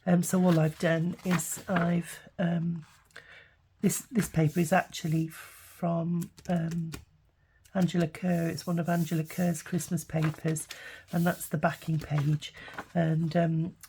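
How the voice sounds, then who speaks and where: A woman with a cold, croaky from a sore throat, chatting through a craft tutorial with lots of ums and restarts. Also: lowish voice, level tone, slow, mellow, a little hiss or hum, poor audio. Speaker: craft vlogger. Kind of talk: casual craft tutorial chat.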